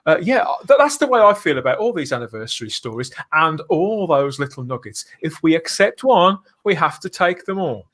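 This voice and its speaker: Guy hosting a live podcast, high bright voice, bit of a showman announcer. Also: big pitch swings, moderate pace, few pauses. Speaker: podcast host.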